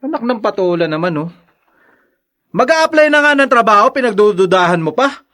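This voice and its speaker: A sharp, bullying young husband. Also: male voice, high-pitched, animated, brisk. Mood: demanding, annoyed. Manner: irritable, bullying. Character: Brian, irresponsible, abusive, hot-tempered young husband. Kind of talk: Tagalog radio drama acting.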